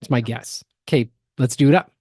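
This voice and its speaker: A man co-hosting a podcast, explaining things in a casual commentary style with halting, emphatic phrasing. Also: medium pitch, darkish tone. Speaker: podcast co-host.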